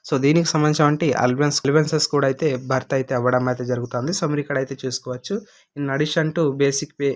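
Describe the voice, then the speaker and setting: A man's voice: on the high side, fairly even intonation, fast. A man with a Telugu accent presenting job information as an online host, mixing in English terms and addressing listeners as friends. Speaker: job-news YouTube host. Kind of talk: informational narration of a job notification.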